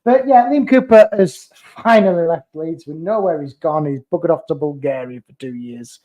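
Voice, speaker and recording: A man hosts a casual football podcast. He keeps up a jokey, teasing banter with his co-host in a slightly higher voice. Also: moderate pace, lo-fi sound.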